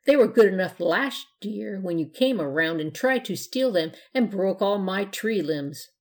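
A woman's voice: medium pitch, lively intonation, unhurried. Woman playing a scowling, scolding grump of a man. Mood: angry, scolding. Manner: snorting, scolding, yapping delivery. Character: grumpy, suspicious, scornful man who begrudges trick-or-treaters. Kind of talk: storybook character voice in narration.

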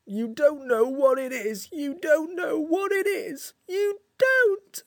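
A woman voicing a grumpy, weary, self-pitying furry magical creature in a storybook, with slow, complaining delivery. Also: sits low, animated, gravelly, slightly rough, no room sound, clean studio sound, heavily acted. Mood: tearful, pleading.

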